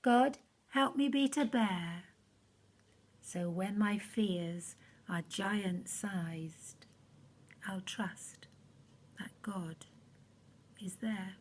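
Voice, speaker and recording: Woman reading as a slow, earnest young shepherd boy. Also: medium pitch. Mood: earnest, resolute. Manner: measured, rhythmic, earnest, trailing off softly. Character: brave, devout young shepherd boy, praying and resolute.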